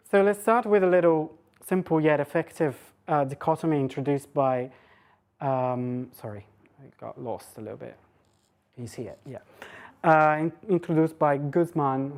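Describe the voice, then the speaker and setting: Low-pitched woman lecturing informally. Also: moderate pace, pauses often, darkish tone. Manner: hesitant, self-interrupting, thinking aloud with rhetorical questions. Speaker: lecturer giving a talk. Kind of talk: academic lecture, informal and conversational.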